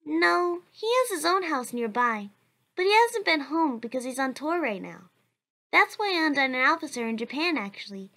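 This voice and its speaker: A woman's voice: high voice, big pitch swings, normal speed, heavily acted. A woman voicing a cheerful, earnest child who answers questions for a blog in a comic dub. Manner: bright, chatty, earnest.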